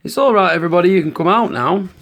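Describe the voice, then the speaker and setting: A good-natured, slightly fussing park keeper from a bedtime storybook , as a woman reads him. The lines rise and fall in a lively, exclaiming way, always reassuring and kind. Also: on the low side, racing, pitched above the performer's own voice.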